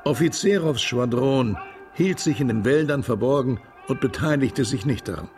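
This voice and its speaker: Guy acting a russian exile war veteran in a radio play, swinging pitch, reminiscing over music. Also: moderate pace, lightly acted. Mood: grave recollection. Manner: animated, reminiscing. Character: Russian émigré former cavalryman, animated drinking storyteller. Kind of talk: German radio drama acting.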